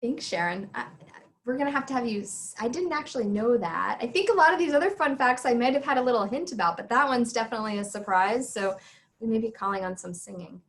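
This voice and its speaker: A woman's voice: on the high side, expressive, fast talker. A woman doctor moderating a panel, calling on colleagues in a friendly, casual way. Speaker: moderator, gynecologic oncologist. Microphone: video call.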